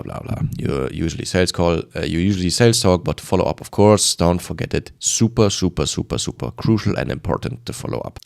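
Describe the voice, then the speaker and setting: A man with a German accent and a fairly low voice speaks as a sales coach to his viewers. His delivery is informal and emphatic. He repeats points and slips into little bits of acted dialogue. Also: vocal fry, darkish tone, smooth. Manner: emphatic and repetitive, acting out short bits of dialogue. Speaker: sales coach presenting a video. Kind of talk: informal advice monologue to camera.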